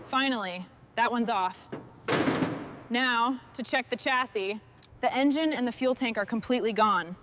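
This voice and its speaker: A woman's voice: fairly high pitch, unhurried, pauses often, very noisy. A woman muttering to herself and giving brief instructions while doing restoration work, in short bursts of work talk. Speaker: machinery restorer.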